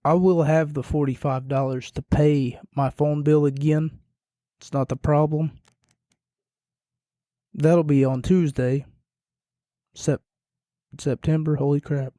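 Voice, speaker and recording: A man hosting a solo podcast, rambling and self-deprecating, his voice going hoarse and quiet. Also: a little high, little pitch movement, takes it slowly, pauses often, dry recording, studio quality. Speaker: podcast host. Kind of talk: casual solo podcast recap.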